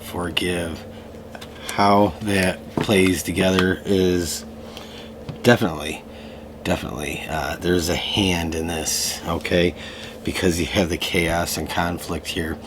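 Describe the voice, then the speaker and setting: A man giving a casual tarot reading to camera, slightly hoarse from a lingering cough, talking in a loose way with many fillers. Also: deep voice, takes it slowly, background noise. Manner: relaxed, loose, full of fillers and restarts. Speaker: tarot reader. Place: room at home.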